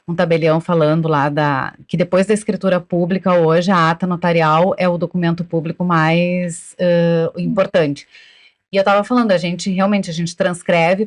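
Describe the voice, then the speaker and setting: A woman, a lawyer guesting on an interview podcast, explaining thoughtfully with frequent pauses and fillers. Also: on the low side. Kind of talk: casual interview chat.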